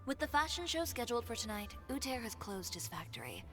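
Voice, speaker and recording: Cool, self-assured young designer. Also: female voice, on the high side, wildly swooping pitch, brisk, fairly bright tone, soft background music, heavily acted. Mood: determined, sly. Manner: composed, matter-of-fact, self-assured. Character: confident, shrewd young woman fashion designer, cool and brisk. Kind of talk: video game voice acting.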